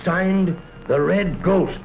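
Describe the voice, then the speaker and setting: An actor playing a dutiful, earnest police sergeant with deliberate delivery in 1930s radio melodrama. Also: male voice, sits high, creaky, heavily acted. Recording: muffled, noisy 1930s radio recording.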